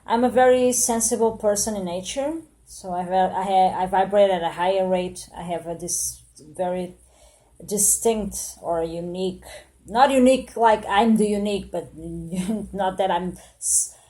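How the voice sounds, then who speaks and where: A woman's voice: medium pitch, a little slow, mellow. A woman in her late thirties from Portugal, talking to camera in an earnest, reflective monologue full of hesitations and restarts. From Portugal, born in Angola. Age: 30s. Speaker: vlogger.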